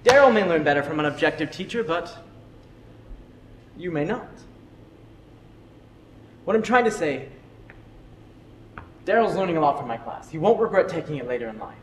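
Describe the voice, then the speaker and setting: Earnest male history teacher played by a man, stage drama, very animated delivery, reverberant hall with faint noise. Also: a little high, moderate pace, pauses often, close to an everyday voice. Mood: insistent. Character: earnest, opinionated male history teacher defending his methods. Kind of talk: amateur stage drama acting.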